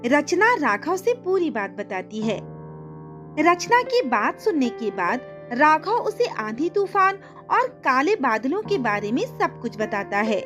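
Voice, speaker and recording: Female story narrator: high, animated, scripted delivery over soft music. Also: unhurried.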